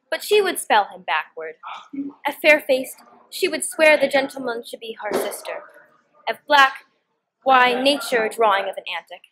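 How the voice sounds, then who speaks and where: A woman's voice: sits high, fairly bright tone, exaggerated voice, poor audio. A teenage girl playing a scheming young noblewoman in a student performance of Shakespeare, with a declamatory delivery. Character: Hero, scheming young noblewoman feigning disdain for her cousin.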